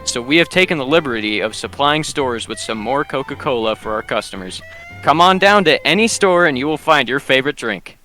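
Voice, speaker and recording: Bright vintage radio spokesman acted by a man. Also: medium pitch, animated, a little fast, faint music behind. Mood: proud, promotional. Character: proud, formal corporate spokesman in a vintage radio advert. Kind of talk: 1920s radio commercial read.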